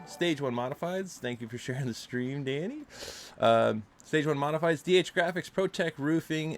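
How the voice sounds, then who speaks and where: Stuffy-nosed guy hosting a chill podcast from home, lots of uhs and likes. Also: mid-range voice. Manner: loose, filler-heavy talk. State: stuffed up from allergies. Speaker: podcast host. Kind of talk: casual chat. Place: home room with loud rain outside. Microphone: desktop microphone.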